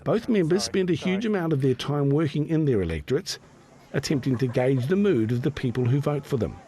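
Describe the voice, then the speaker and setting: A man narrating a documentary in a measured, even scripted delivery. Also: on the high side, a little hiss or hum. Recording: narration with clear music behind.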